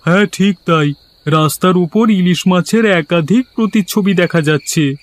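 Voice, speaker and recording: A man's voice: high-pitched, moderate pace, close to an everyday voice. A man playing an earnest villager in a cartoon, speaking in a formal, declaiming way. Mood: curious. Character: earnest male villager who organises a village fish fair. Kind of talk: scripted cartoon dialogue.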